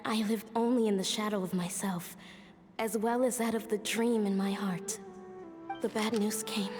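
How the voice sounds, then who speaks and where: Woman as a reverberant, high-voiced confiding mother. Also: close to an everyday voice. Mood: fond, wistful. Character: tender, sorrowful mother reading her own diary. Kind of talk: scripted game diary monologue. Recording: long reverb over soft music.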